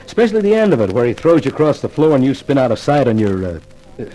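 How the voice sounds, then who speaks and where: A man's voice: medium pitch, sing-song, quick pace, mellow, poor audio. An actor playing a cocky, wisecracking male columnist in a 1950s radio detective drama, glib and wry. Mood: flirtatious, flippant. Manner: glib, wry, self-assured.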